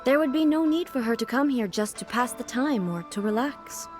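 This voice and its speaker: A woman playing a composed, aloof immortal ruler in a video game, speaking in a formal, measured, dignified manner. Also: a little high, lively intonation, darkish tone, soft background music, lightly acted. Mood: unbothered, formal.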